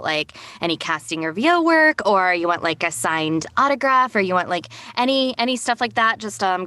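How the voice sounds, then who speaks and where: A woman's voice: on the low side, a bit bright. A woman talking casually and explaining things as a guest on a panel. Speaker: voice actor and casting director, panel guest.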